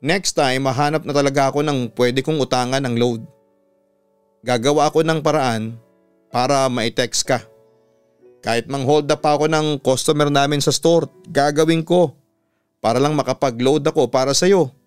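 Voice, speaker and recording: A man's voice: mid-range voice, fairly even intonation, normal speed, clean tone, no room sound, soft background music, natural voice. A Filipino man voicing a devoted, joking young boyfriend in a narrated story. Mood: apologetic, joking.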